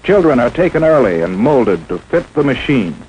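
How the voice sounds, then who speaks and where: A man's voice: medium pitch, big pitch swings, normal speed, darkish tone, slightly rough, low-quality recording. An American man narrating a 1950s instructional film in an emphatic, dramatic, scripted manner, on an old film soundtrack. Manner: emphatic, dramatic, rhetorical questioning.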